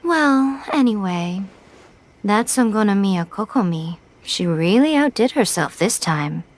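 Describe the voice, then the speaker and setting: A woman's voice: medium pitch, lively intonation, lightly acted. A woman playing a self-assured, condescending shrine priestess in a video game, coy and ironic. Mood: composed, wry. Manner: coy, teasing, unhurried irony. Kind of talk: video game character voice acting. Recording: music behind the voice.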